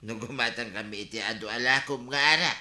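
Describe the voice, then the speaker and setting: A man's voice: medium pitch, fast, bright, forceful tone, reverberant. A man playing a merry, pushy village drunkard in an Ilocano radio comedy drama, boozy and sing-song. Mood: tipsy, insistent.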